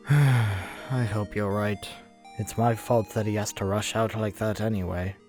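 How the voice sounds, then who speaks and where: Man playing a troubled young skeleton monster, guilt-ridden, slow halting delivery, brooding, comic dub, music behind. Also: fairly low pitch, steady tone, darkish tone. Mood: guilty, hesitant. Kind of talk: amateur comic dub voice acting. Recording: music bed under the voice.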